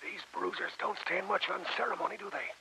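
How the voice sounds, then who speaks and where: An actor playing a hot-headed American harpooner in an old radio drama, blunt, combative and folksy. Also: male voice, high-pitched, a little fast, bright, forceful tone, a little hiss or hum, exaggerated voice. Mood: gruff, defiant. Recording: muffled old radio recording.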